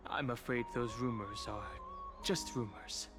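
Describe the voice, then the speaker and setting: A man's voice: mid-range voice, unhurried, lightly acted. An actor voicing a young male traveler in a video game, talking in a dry, slightly awkward way. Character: wry young male traveler hiding his origins. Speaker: player character. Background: game music.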